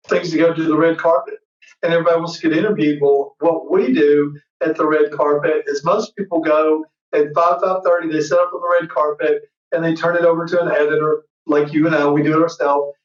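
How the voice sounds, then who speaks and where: A man in his fifties, a guest who hosts an entertainment show, telling rambling, excited stories in casual chat over a video call. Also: fairly high pitch. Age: 50s. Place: indoor room. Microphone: far camera on a video call. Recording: roomy, distant, muffled video-call audio.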